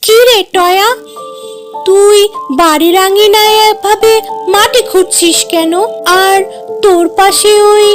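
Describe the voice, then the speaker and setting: Woman as a high-pitched stern cartoon mother. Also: fairly even intonation, slow, over music. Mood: questioning. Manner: scolding, questioning. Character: stern, suspicious mother of a little girl. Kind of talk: cartoon story voice acting.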